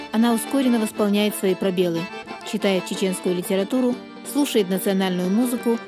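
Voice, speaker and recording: A woman reporter reading a scripted TV voice-over in an even, measured way. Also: mid-range voice. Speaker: TV reporter narrating. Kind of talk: scripted narration. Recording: voice-over with music underneath.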